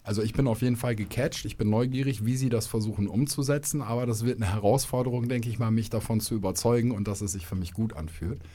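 A man hosting a school podcast, asking polite, measured questions in a small studio. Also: fairly low pitch, mellow.

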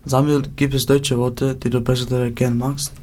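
A man acts as interviewer and reads his questions plainly and evenly. His voice sits mid-range, and its pitch barely moves. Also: little pitch movement, moderate pace, a little mellow.

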